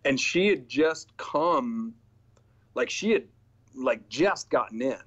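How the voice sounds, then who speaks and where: A man in his forties, an actor guest on a video call, telling set stories with lively acted-out quotes. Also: medium pitch, takes it slowly, husky. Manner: animated storytelling, acts out quoted exchanges. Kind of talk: casual interview over video call. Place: small indoor room with purple backlight. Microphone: webcam video call. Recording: slightly dull lo-fi video call audio.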